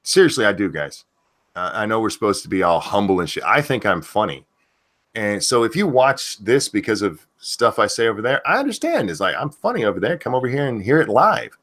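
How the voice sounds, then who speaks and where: A man's voice: lowish voice, very animated, fairly quick, fairly bright tone. A man hosting a casual livestream chat, blunt and self-assured, swearing freely and restarting his sentences. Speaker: show host.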